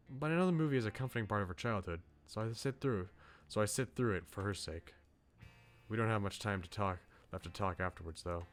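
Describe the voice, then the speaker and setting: A man streaming a visual novel, reading it aloud with casual asides and often stumbling over lines and correcting himself. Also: lowish voice.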